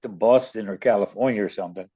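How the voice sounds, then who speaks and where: A man's voice: on the low side, fast, husky, highs cut off. An older man with a Baltimore accent, hosting a radio show and telling stories in a rambling, casual way, often stumbling and repeating words. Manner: rambling, many restarts and stammered repeats. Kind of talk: casual storytelling chat.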